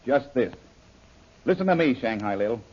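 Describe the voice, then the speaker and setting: Man acting a husky, blunt radio adventure hero. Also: medium pitch, lively intonation, moderate pace, darkish tone, close to an everyday voice. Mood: accusing. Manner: wry, blunt, folksy slang. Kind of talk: old-time radio serial acting. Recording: dull, lo-fi old radio recording.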